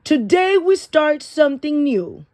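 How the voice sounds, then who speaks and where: A woman voicing an eccentric, brisk schoolteacher from a storybook, announcing things emphatically to her class. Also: high voice, sing-song, a little mellow, dry recording, studio quality, pitched above the performer's own voice. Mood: brisk, commanding.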